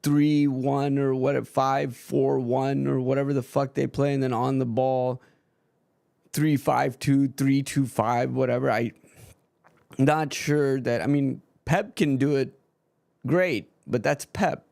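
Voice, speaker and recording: A man's voice: medium pitch, steady tone, slow pace, vocal fry, darkish tone, no room sound. A man streaming a live football match and ranting frankly about his team's manager, swearing freely in casual chat.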